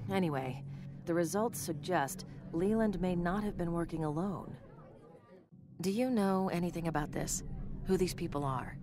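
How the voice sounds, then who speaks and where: An actress playing a wry, probing, cool-headed woman in a video game drama, with a dry, measured delivery. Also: low voice, background noise, lightly acted. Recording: music behind the voice.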